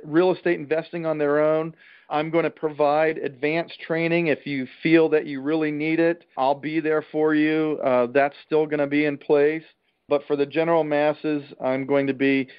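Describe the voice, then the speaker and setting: A man giving an informal real estate trainer's talk over a conference call, plain-spoken and emphatic, with a salesman's folksy pitch. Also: smooth. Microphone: telephone conference call. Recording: dull, band-limited conference call line.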